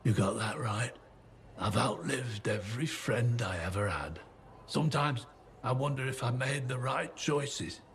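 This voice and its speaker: An actor playing a weathered, boastful yet haunted old survivor in a video game, with a folksy, plain-spoken manner. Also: male voice, mid-range voice, animated, measured pace. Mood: haunted, regretful. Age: older. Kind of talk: video game dialogue acting. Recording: clear ambient noise behind the voice.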